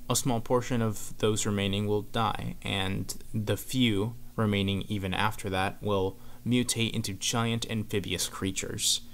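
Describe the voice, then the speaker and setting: A man with a low voice narrates a horror story as if retelling it to a viewer. The delivery is scripted but conversational, over noticeable background noise and ambience. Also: fairly bright tone. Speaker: narrator of a horror series explainer video.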